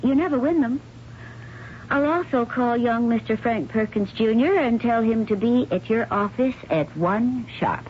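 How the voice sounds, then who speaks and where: A woman's voice: on the high side, a little slow, lightly acted. A woman playing a determined, practical and protective wife in a radio drama, firm and coaxing. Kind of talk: 1970s radio drama acting. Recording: muffled, slightly noisy 1970s radio broadcast.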